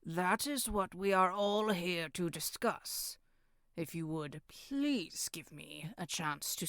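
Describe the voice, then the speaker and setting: Woman doing a solemn village elder, talks slow n formal, self-important, super dry close sound. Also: on the low side, a bit bright, no room sound, full character voice. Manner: formal, deliberate, throat-clearing. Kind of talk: horror roleplay audio drama.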